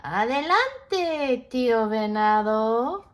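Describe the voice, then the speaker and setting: A woman's voice: extremely high pitch, very animated, a little slow, bright, forceful tone, pitched above the performer's own voice, full character voice. A woman doing Sapo, a clever little toad. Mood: cheerful. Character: clever small male toad, polite and steady.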